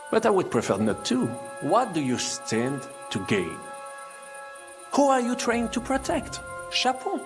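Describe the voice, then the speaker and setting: An actor playing a treacherous, smooth-talking French nobleman with a theatrical, wheedling manner and a French accent. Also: a little high, exaggerated voice. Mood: silky menace. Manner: theatrical, wheedling, peppers speech with French phrases. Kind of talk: dramatic video game acting. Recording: music underneath the dialogue.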